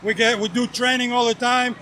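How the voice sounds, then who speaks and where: High-voiced Greek-accented man. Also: steady tone, brisk, bright, forceful tone, slight background noise. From Greece. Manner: earnest sales pitch, lists products. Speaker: coffee vendor. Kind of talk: outdoor event interview. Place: outdoor race event.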